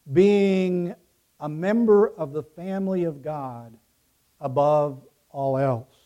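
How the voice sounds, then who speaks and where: A man raised in Ecuador by American parents preaches as a pastor, speaking deliberately in emphatic phrases that trail off softly. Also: fairly high pitch. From Ecuador, raised by American missionary parents. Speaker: pastor preaching to his congregation. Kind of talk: sermon.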